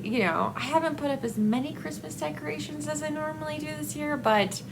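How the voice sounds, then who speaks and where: A woman book vlogger talks casually and haltingly, with a noticeable creak in her voice. She rambles and pauses often as she feels for her words. There is faint room noise behind her. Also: sits high. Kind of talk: casual book review chat to camera.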